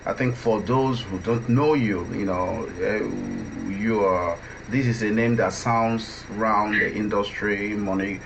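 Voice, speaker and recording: A man hosting a conversational radio interview, halting and repeating himself as he asks questions. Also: lowish voice, steady tone, gravelly. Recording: dull, faintly noisy line.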